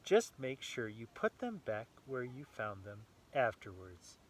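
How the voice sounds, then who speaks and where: A man's voice: mid-range voice, very animated, unhurried. A man voicing a kindly, helpful animal friend in a storybook, encouraging and instructive.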